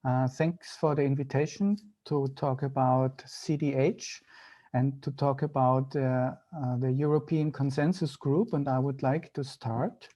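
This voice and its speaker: A man with an Austrian accent, a native German speaker, giving an online medical lecture in halting, deliberate phrases. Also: mid-range voice, fairly even intonation, mellow. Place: video call.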